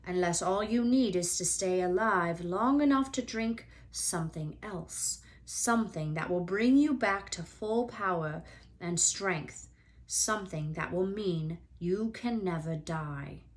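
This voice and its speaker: A woman voicing a grave, wise male centaur in a storybook, speaking in a solemn, deliberate way. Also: on the low side. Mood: grave, probing.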